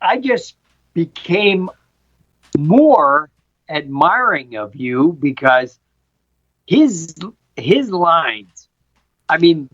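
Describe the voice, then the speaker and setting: A woman's voice: low-pitched, big pitch swings, extremely slow, long pauses, no room sound. A woman in a casual group chat, effusive and emphatic, given to amazed exclamations, over dull lo-fi call audio. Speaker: audio drama cast member.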